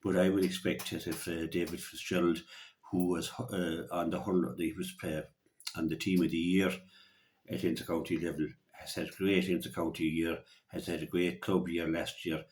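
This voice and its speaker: Male sports pundit, County Clare Irish accent, low register, little melodic movement, conversational delivery with frequent repeated words. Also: little pitch movement, a little mellow. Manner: halting, often repeating words. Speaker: local radio hurling pundit.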